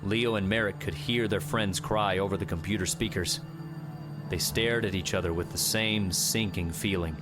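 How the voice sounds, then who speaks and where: A man's voice: low voice, steady tone, normal speed, music in the background. A man reading a scripted adventure audiobook as a dramatic narrator.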